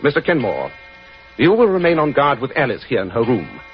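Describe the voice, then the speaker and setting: Resolute sleuth, authoritative and formal, very varied pitch, vintage radio drama. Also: male voice, wildly swooping pitch, brisk, faint music behind. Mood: brisk command. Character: shrewd, commanding gentleman detective, stern and resolute. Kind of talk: 1940s radio drama acting. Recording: dull, noisy 1940s radio recording.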